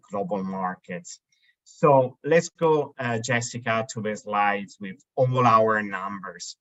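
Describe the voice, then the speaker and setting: A man's voice: mid-range voice, sharp tone. A man in his thirties with an Italian accent presenting slides on a webinar, hesitant, with many fillers and restarts. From Italy. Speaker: webinar host for a marketplace. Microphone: video call. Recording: dull lo-fi video call audio.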